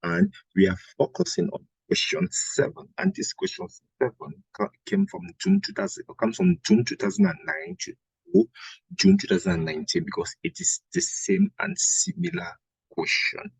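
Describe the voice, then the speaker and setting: A man's voice: high voice, huge pitch swings, normal speed, gravelly, slightly rough, no room sound, rough recording. A man with a Cameroonian accent teaching an exam revision lesson, didactic and repeating phrases for emphasis. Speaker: history teacher.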